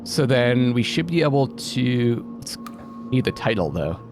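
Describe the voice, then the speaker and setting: A man's voice: medium pitch, sing-song, measured pace. A man giving casual gaming commentary, thinking aloud, questioning himself and reading out bits of on-screen text. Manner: musing, often questioning himself. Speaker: let's play host. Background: game music.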